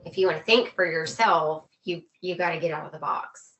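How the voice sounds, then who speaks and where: A woman's voice: deep voice, fairly quick, fairly bright tone, lo-fi sound. A woman in casual conversation as a podcast guest, reflective and often rephrasing her points.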